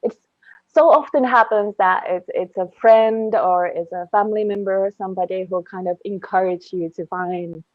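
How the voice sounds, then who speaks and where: A woman's voice: mid-range voice, moderate pace, dry recording, narrow, tinny audio. A woman hosting a casual podcast interview, speaking in an enthusiastic, rambling way. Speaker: podcast host.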